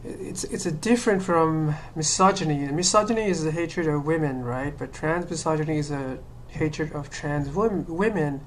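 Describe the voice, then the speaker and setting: Low-voiced woman, hesitant and musing. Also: moderate pace, few pauses, slight background noise. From Sri Lanka. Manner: hesitant, many fillers and restarts, laughs self-consciously. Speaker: vlogger. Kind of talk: casual personal monologue.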